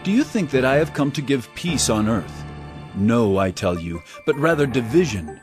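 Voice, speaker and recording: A man's voice: medium pitch, very animated, moderate pace, over music, close to an everyday voice. An actor playing an earnest, commanding male religious teacher in a scripture audio drama, preaching with solemn emphasis. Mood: stern, urgent.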